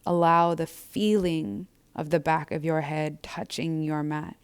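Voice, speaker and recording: A woman yoga instructor gently and soothingly guiding breathwork and a body scan in a measured way. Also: sits low, flat delivery, clear, resonant tone.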